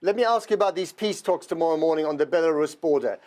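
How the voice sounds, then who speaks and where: A man anchoring a live news program, putting brisk, polite questions to a guest. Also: fairly high pitch, darkish tone.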